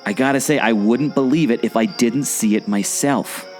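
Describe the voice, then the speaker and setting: A man playing an excitable, skeptical, wisecracking amateur explorer in a scripted audio drama, with a theatrical, jokey delivery. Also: medium pitch, normal speed, soft background music, natural voice. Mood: intrigued. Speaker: co-host of a theme park podcast.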